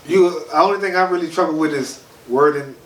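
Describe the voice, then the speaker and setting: A man's voice: fairly high pitch, normal speed, fairly bright tone. A man with a stuffed-up nose, co-hosting a podcast in a laid-back, chatty way. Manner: laid-back, chatty, frequent "you feel what I'm saying". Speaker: podcast co-host. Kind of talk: casual podcast chat. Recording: echoey room, mic at a distance, faint noise.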